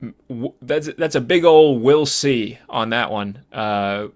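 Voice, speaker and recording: A man's voice: medium pitch, takes it slowly. A man with a mid-range voice co-hosts a casual podcast about films. He feels his way through sentences, hesitant and meandering. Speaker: podcast co-host. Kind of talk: casual film discussion chat.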